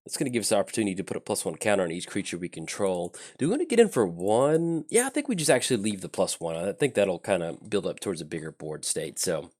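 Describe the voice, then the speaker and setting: A low-voiced man talks through his card game moves in a loose, thinking-aloud way, full of ums and uhs. His delivery swings up and down with lively intonation. He is getting over a chest cold, so his throat sounds a little scratchy. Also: quick pace, hardly any pauses. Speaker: channel host. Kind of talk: casual card game commentary.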